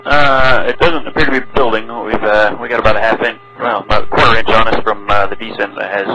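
A man's voice: on the low side, steady tone, fast, a crowd in the background. A man flying as an airline pilot, giving terse, matter-of-fact readbacks over a noisy radio transmission.

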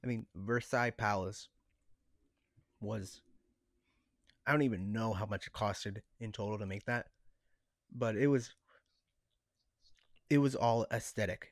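A man's voice: on the low side, unhurried, long pauses, no room sound, studio quality. A man co-hosting a podcast in casual discussion, thinking aloud in a tentative way. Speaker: podcast co-host.

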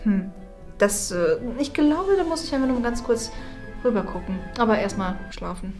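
High-voiced gloomy schoolgirl played by a young woman. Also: expressive, normal speed, close to an everyday voice. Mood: indifferent, tired. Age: young adult. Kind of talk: improvised web-series acting. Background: background music.

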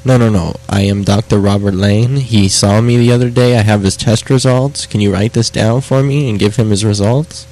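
A man's voice: fairly low pitch, fairly even intonation, moderate pace, few pauses, a little mellow, lightly acted, low-quality recording. A man voicing an insistent foreign doctor on a prank call, speaking hesitant broken English and repeating himself. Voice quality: broken English with dropped endings. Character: foreign male doctor delivering test results, insistent. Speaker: prank caller.

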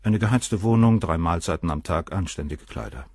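Cold cynical doctor, deep husky voice, swings up and down a lot, dry studio sound. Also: male voice, animated, normal speed, darkish tone, no room sound. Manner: dismissive, clinical, condescending. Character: cold cynical male doctor, middle-aged suspect. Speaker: doctor questioned by the inspector. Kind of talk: radio play acting.

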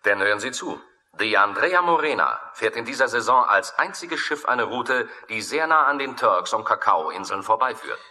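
An actor playing a methodical, dry investigator briefing a colleague in a radio thriller. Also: male voice, mid-range voice, expressive, moderate pace, pushed and bright. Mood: dry, deliberate. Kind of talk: German radio thriller acting. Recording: long hall-like reverb.

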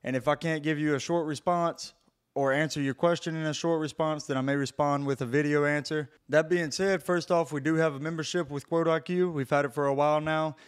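A man's voice: a little high, steady tone, brisk, clean tone. A man who runs a pressure washing business, narrating a voice-over in a blunt, casual way and swearing freely.

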